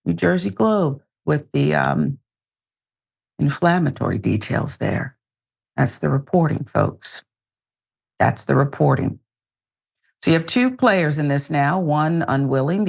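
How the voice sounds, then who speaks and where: A woman presents news commentary in a lowish, gravelly voice. She reads reports deliberately and emphatically, slipping in pointed asides as the host. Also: animated, a little mellow.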